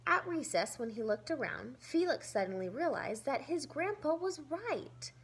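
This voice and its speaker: A woman's voice: high voice, sing-song, moderate pace. A woman teacher reading a children's story aloud expressively, with simple asides explaining it to young listeners.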